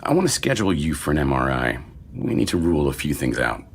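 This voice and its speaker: A man playing a sardonic doctor in his fifties, deadpan and wry, in a screenplay reading. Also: fast talker, creaky, husky, full character voice. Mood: matter-of-fact. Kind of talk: screenplay table read. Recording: faint low rumbling noise.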